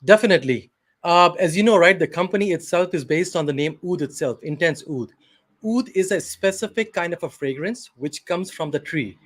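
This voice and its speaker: A man's voice: high voice, expressive, moderate pace, fairly bright tone. A man from Pakistan, a company CEO, answering interview questions hesitantly over a video call, with many fillers and restarts.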